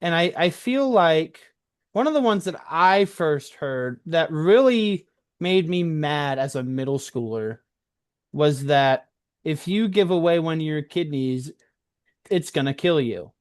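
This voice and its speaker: A man's voice: fairly high pitch, lively intonation, unhurried, clean tone, dry recording, clean studio sound. A man in a casual conversational interview, talking earnestly as a host who shares his own experience and often checks for agreement.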